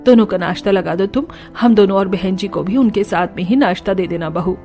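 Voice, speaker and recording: A woman voicing a patient older mother-in-law in a cartoon story, speaking calmly and evenly. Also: mid-range voice, fairly quick, faint music behind, voice pushed down, lightly acted. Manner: calm, explaining. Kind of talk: Hindi cartoon story dialogue.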